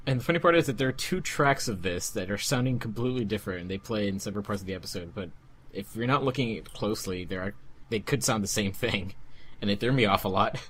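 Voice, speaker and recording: A man's voice: on the low side, quick pace, a bit bright, husky. A man chatting casually as a fandub editor on a group commentary track.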